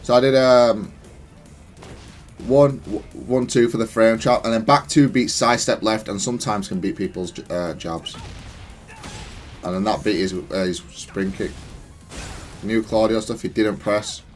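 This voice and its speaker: Male gamer commentator, fairly deep, analytical and matter-of-fact, talking through plays over quiet background music. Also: measured pace, pauses often. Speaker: gamer explaining own match replay.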